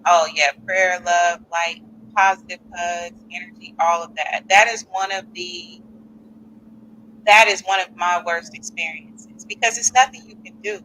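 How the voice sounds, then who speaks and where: A woman hosting a live call-in podcast, chatty and animated, with speech and reading out listener comments. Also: on the low side, measured pace, slight background noise, low-quality recording. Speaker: podcast host.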